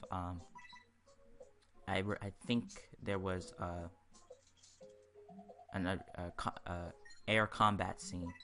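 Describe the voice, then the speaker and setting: A man's voice: fairly low pitch, pushed and bright. A man giving casual let's play commentary on a video game, in a hesitant, rambling, stop-and-start way. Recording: game music behind the voice. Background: video game music.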